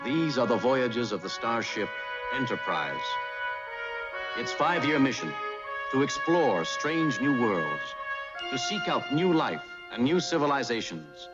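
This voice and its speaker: A man's voice: medium pitch, very animated, a little slow, over loud music. An actor playing the solemn, grand male narrator of a space adventure's dramatic opening. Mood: solemn wonder. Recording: dull lo-fi, under loud brass theme.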